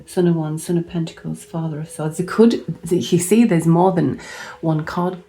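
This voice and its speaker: A hoarse woman with a low, mellow voice reads tarot cards in a chatty, unhurried way. She thinks aloud as she turns each card, trailing off and restarting mid-sentence. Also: fairly even intonation, low-quality recording. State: hoarse, recovering lost voice. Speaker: tarot reader. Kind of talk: casual tarot card reading.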